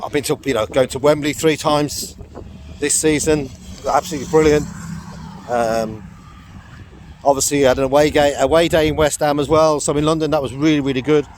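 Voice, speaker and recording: A middle-aged man with a croaky throat, chatting casually on a walk with his dog in a rambling vlog. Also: fairly high pitch, fairly even intonation, a little fast, a little mellow, slight background noise. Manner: rambling, chatty, lots of fillers and laughs. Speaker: vlogger.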